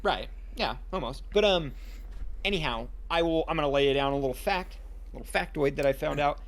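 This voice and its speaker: A man's voice: on the high side, a little fast. A man co-hosting a podcast in casual chat, playful and teasing toward his friend. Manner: playful, teasing, calls friend dude and homie. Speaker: podcast co-host.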